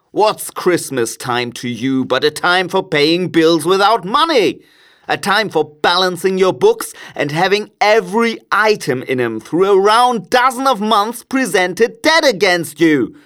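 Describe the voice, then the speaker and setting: A man's voice: on the high side, fairly bright tone, pitched above the performer's own voice. Man playing a blustering, scornful old miser. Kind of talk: dramatised storybook reading.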